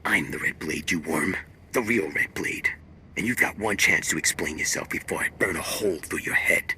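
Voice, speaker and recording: An actor playing a menacing, boastful male space pirate in a video game, gravelly and threatening. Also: extremely low pitch, normal speed, pushed and bright, a little hiss or hum, full character voice. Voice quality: gravelly, husky. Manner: threatening, taunting.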